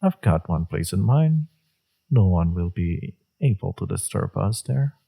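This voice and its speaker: A very deep, dark-toned male villain acted by a man murmurs through a romance roleplay. His voice is husky and takes its time. He is smug and seductive, teasing his enemy with complete self-assurance. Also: sing-song, a little slow, exaggerated voice. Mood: smug, flirtatious. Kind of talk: romantic audio roleplay, intimate monologue.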